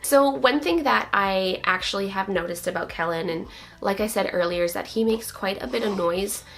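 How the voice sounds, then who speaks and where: A young woman, a new mother, talking casually to camera, her voice a little raspy from a recent cold, chatty and open. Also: medium pitch, moderate pace. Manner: open, chatty, relieved, many likes and so's. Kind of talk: casual vlog chat.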